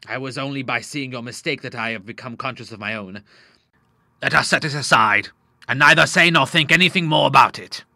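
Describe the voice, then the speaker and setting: A man's voice: medium pitch, brisk, creaky, bright, forceful tone, dry recording. A man voicing a stern older father, a doctor, who speaks coldly and indignantly. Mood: relenting. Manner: cold and indignant, rising to anger.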